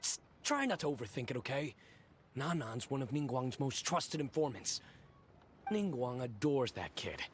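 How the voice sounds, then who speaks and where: A man's voice: medium pitch, very animated, normal speed, a little hiss or hum, close to an everyday voice. A man playing an earnest, anxious small-time businessman justifying himself in a video game. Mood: resigned, reassuring. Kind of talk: scripted video game voice acting.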